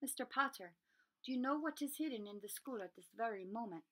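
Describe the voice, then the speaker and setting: A woman voicing a solemn male centaur in a children's storybook, speaking in a grave, measured, formal way. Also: a little high, expressive, bright, forceful tone. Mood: solemn, probing. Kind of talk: children's storybook read-aloud.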